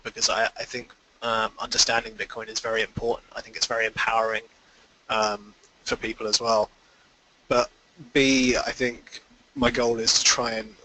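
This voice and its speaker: A man's voice: mid-range voice, sharp tone, rough recording. A man giving informal, explanatory answers as an investor guest in a conference call interview.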